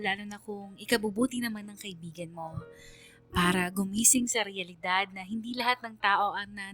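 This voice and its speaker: A woman's voice: mid-range voice, a bit bright, close to an everyday voice. A woman playing a reflective, loyal young woman who narrates her story in a radio drama, earnest and confessional. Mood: regretful, pensive.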